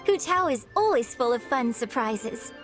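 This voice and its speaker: A woman playing a poised, gentle young opera singer in a video game, polite and articulate. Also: a little high, animated, normal speed, music in the background, close to an everyday voice. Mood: friendly, composed.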